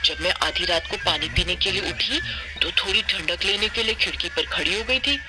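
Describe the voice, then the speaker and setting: A woman's voice: fairly low pitch, moderate pace, background noise, lightly acted. Woman acting a dramatic, spooked village gossip. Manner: dramatic, insistent. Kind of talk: Hindi cartoon voice acting.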